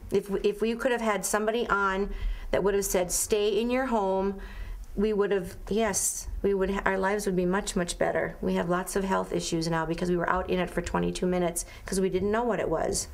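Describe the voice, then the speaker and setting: A woman with a medium-pitched voice gives an unscripted witness account. She talks emphatically, repeating phrases to drive them home. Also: steady tone, a little fast, vocal fry. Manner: emphatic, repetitive. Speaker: local resident and witness. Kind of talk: unscripted interview recollection.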